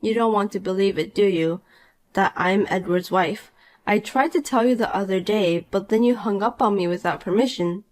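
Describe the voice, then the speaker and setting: A woman voicing a calm, cool married young woman in a dramatized story, dry and patient. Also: mid-range voice, moderate pace, close to an everyday voice.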